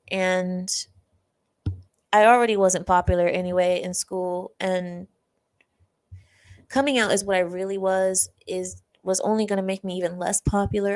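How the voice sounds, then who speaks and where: A woman's voice: medium pitch, darkish tone. A young woman hosting a livestream, telling a personal story in a chatty way, laughing often and reading out chat comments. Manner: chatty, laughs often, acts out quoted classmates. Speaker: livestream host.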